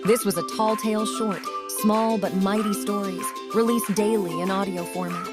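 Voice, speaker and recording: A woman's voice: medium pitch, fairly even intonation, moderate pace. A woman reading a scripted announcement for a children's story series. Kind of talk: scripted intro and outro announcement. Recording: loud music under the voice.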